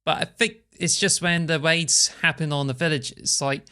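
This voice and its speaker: A woman's voice: sits low, steady tone, normal speed, sharp tone. A woman co-hosting a casual podcast while nursing a strained back, rambling along with lots of "like" fillers. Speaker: podcast co-host. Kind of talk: casual chat. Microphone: podcast microphone.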